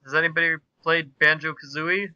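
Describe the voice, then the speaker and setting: A man's voice: on the high side, steady tone, fairly quick, sharp tone, clear, resonant tone, dry recording, dull audio. A man hosting a podcast, reading comic fan fiction aloud in a mock-grandiose, theatrical way.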